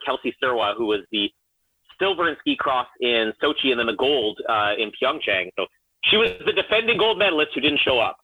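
A man's voice: medium pitch, no echo, narrow, tinny audio. A man co-hosting a podcast, telling wry stories in casual chat. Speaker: podcast co-host.

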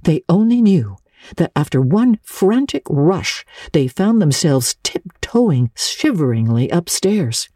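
A woman narrating classic literature as an audiobook, with dramatic, measured storytelling. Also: sits low, big pitch swings, dark tone, dry recording, clean studio sound.

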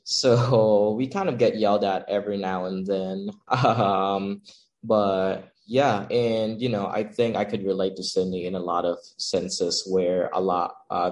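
A young man from the Philippines, a cook and pop-up owner, chatting casually as a guest and laughing often. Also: sits low. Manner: relaxed, laughs often, many fillers like "um" and "like". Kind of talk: casual interview chat.

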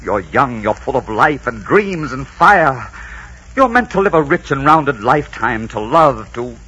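An actor playing a headstrong, romantic conquistador in melodramatic, emphatic 1940s radio drama style. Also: male voice, mid-range voice, sing-song, a little slow, pushed and bright, slightly rough, full character voice. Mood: impassioned pleading. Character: passionate Spanish conquistador, headstrong romantic hero. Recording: dull, muffled 1940s radio recording.

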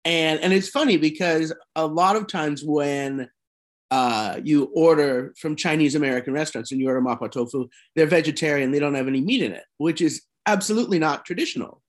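A man with a voice a little higher than most men's, leading a relaxed conversational interview. He asks curious follow-up questions of his guest. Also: brisk.

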